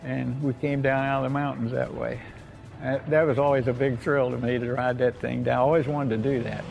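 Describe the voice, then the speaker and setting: A man's voice: medium pitch, steady tone, brisk, creaky, over music, rough recording. An elderly American man, a war veteran, reminiscing in a plainspoken, folksy way and often repeating himself. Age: 80s or older. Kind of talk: oral history reminiscence.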